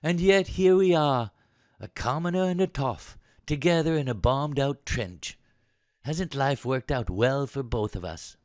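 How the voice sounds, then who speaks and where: An actor playing a posh, haughty young British soldier in an audio drama, with an upper-class English accent and a clipped, sarcastic way of talking. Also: male voice, medium pitch, expressive, a little slow, a little mellow, dry recording, studio quality, lightly acted. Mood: bitter.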